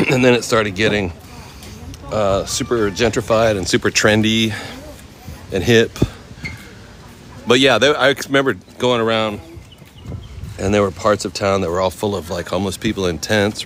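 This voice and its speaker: A man from Oakland in his thirties, casually chatting with viewers while walking through a temple courtyard outdoors, easygoing and quick to laugh. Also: fairly low pitch, fairly even intonation, fairly bright tone, slight background noise. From Oakland, California. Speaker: vlogger answering live chat. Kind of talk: casual live-stream walk and chat.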